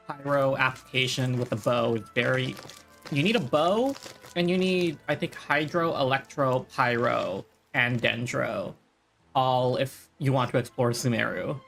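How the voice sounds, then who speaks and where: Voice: mid-range voice, unhurried, vocal fry, a bit bright. Casual game streamer, music behind. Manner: informal, rambling, thinking aloud.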